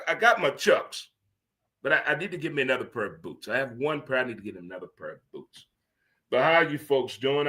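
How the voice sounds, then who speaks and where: A man in his fifties hosting a livestream sports talk show, rambling and animated, teasing himself and asking "huh?". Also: medium pitch. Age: 50s. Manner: talks to himself by name. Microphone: streaming studio mic.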